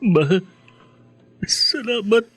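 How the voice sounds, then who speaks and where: Repentant Filipino son, quite high male voice, earnest, grateful, radio drama, music underneath. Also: animated, extremely slow, a bit bright, husky, exaggerated voice. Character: remorseful, grateful grown son fresh from rehab.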